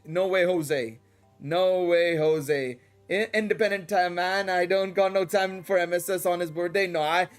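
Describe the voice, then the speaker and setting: A man with an Indian English accent that drifts toward British and American, chatting casually and in a rambling, slangy way as he streams a game. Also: high voice. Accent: shifting Indian English with British and American touches. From Dubai and India. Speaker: live streamer. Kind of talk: casual livestream chat during gameplay. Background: music.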